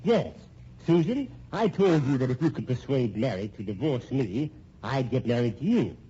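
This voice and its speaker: Actor as smug scheming husband, swooping, muffled recording. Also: male voice, mid-range voice, animated, normal speed, lightly acted. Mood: gloating, controlling. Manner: mock-genial, stammering false courtesy. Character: scheming, smug, sardonic husband playing everyone against each other. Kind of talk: old-time radio drama acting. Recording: muffled, noisy old radio recording.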